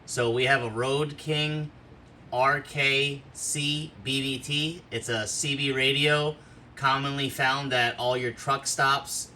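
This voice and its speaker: A man's voice: medium pitch, fairly even intonation, slow pace, pushed and bright, a little hiss or hum. A man hosting an unscripted product walkthrough, explaining things step by step in an instructional way. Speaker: host of a gear demonstration video.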